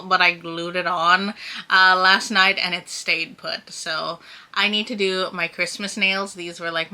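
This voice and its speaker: A woman's voice: mid-range voice, pushed and bright. A woman in her early thirties chatting casually in a sit-down vlog, rambling and laughing often. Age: 30s. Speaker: vlogger.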